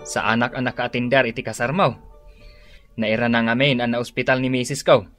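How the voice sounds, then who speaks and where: Casting: chummy, tactless young friend male actor, middle pitch, hedging delivery, background music, radio comedy. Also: little pitch movement, fairly quick, natural voice. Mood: awkward, nosy. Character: tactless, hesitant, nosy male buddy. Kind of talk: comedy radio drama acting.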